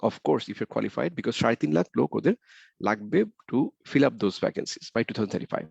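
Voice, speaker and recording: Rapid-fire gravelly man presenting. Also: medium pitch, expressive, darkish tone, no room sound. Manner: rapid, repeats key points, asks rhetorical questions. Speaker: webinar presenter. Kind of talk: slide presentation mixing English and Bengali. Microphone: computer mic on a video call. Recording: dull, slightly muffled webinar audio.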